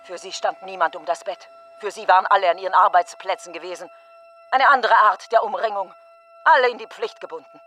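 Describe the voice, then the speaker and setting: A woman's voice: medium pitch, fairly quick, fairly bright tone, soft background music, close to an everyday voice. An actress playing a grieving woman who questions a death in fragmentary phrases, in a literary radio monologue. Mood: bitter, resigned.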